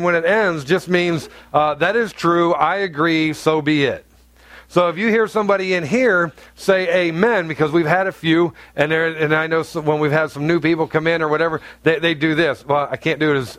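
A pastor from North Carolina preaches to his congregation in a blunt, joking, conversational way, full of asides. His voice is on the higher side for a man, and the sound is close and dry, with little room echo. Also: a bit bright. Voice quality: deep, carrying voice. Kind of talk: sermon. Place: church sanctuary.

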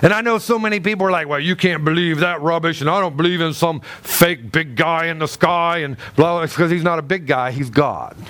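A man preaching a sermon as pastor, emphatic and animated, with a loud voice that carries, acting out the people in his stories. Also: fairly high pitch, brisk, hardly any pauses. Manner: loud, carrying voice. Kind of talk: sermon with storytelling.

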